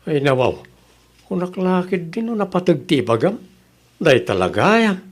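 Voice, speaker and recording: A man's voice: sits high, very animated, moderate pace, slightly rough, exaggerated voice. A man with an Ilocano accent playing a mild, reasoning father in a comedy audio drama. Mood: puzzled.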